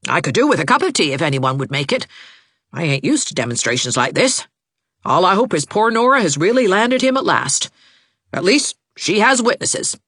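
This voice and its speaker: Old busybody aunt played by a female storybook reader, belligerent, folksy, bright-edged and swooping in pitch. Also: very animated, quick pace, slightly rough, no echo, clean studio sound, exaggerated voice. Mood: dry, satisfied. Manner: folksy, emphatic, scolding.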